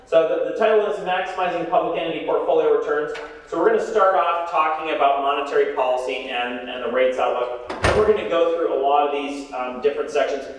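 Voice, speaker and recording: A man giving a conference presentation on investing, talking loudly and conversationally with frequent restarts. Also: fairly high pitch. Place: meeting room. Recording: echoey room from far off.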